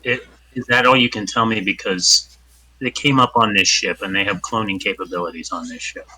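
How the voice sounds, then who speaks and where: A man's voice: fairly low pitch, fairly even intonation, a little fast, sharp tone, husky, rough recording. A man playing a tabletop game, asking questions and restarting his phrases often. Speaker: player. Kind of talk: casual tabletop play.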